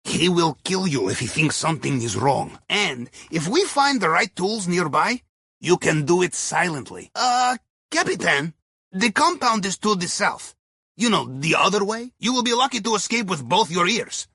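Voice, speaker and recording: A sly Russian ex-navy submariner guiding a crew through heists in a video game. His voice is high for a man and husky, swinging widely in pitch. He talks in a wry, conspiratorial way with a Russian accent. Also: big pitch swings, moderate pace, pushed and bright, dry recording, clean studio sound, full character voice. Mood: conspiratorial warning. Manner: wry, conspiratorial, with foreign phrasing. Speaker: mission guide over the radio.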